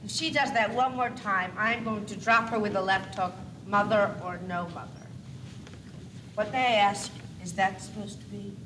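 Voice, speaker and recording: A woman's voice: medium pitch, steady tone, moderate pace, lightly acted. A young woman playing a grouchy, sarcastic baby doll boy in an amateur stage comedy, complaining sardonically. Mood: sullen sarcasm. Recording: echoey far-off stage, noisy old videotape.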